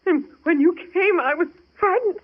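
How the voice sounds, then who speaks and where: A woman's voice: extremely high pitch, normal speed. A woman playing a frightened, pleading, tearful mother in an old radio drama. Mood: terrified, pleading. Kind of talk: 1940s radio drama acting. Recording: thin, phone-like old radio recording.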